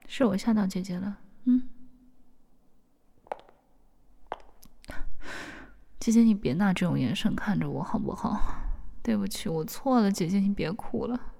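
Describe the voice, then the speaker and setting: A young woman voicing an obsessive, possessive young woman in a whispered audio drama, pleading and coaxing her beloved older sister in a soft, whispery voice. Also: medium pitch, animated, brisk, vocal fry, slightly rough. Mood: coaxing, apologetic. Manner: pleading, clingy, repeatedly coaxing with questions.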